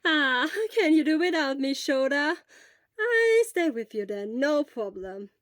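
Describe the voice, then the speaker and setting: A woman voicing a loud, eager, caring and energetic fifteen-year-old schoolboy. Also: high-pitched, measured pace, no echo, studio quality, voice pushed up.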